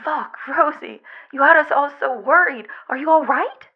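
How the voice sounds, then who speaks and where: A woman's voice: sits high, normal speed, narrow, tinny audio. A woman playing an anxious, emotional mother with a pleading manner in amateur audio fiction. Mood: frantic, relieved. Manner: frantic, pleading.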